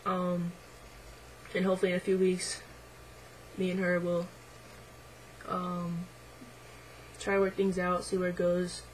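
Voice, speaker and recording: A young man talking hesitantly to camera in a casual video diary, his voice midway through deepening and a little unsettled, with many ums and likes. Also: monotone, unhurried, pauses often, slight background noise, poor audio. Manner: hesitant, lots of ums and likes, quiet and reflective. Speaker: vlogger.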